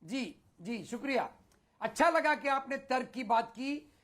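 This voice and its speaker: Combative Indian man arguing at high pitch. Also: animated, a little slow, fairly bright tone. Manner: combative, repetitive, talks over others. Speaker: debate panelist. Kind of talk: heated argumentative TV debate.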